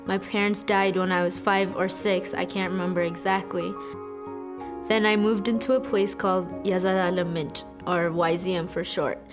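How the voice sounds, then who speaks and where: A teenage girl from Ethiopia, a student, narrating a scripted video in an earnest, measured way. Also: lowish voice, steady tone. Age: teens. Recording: muffled, over guitar music.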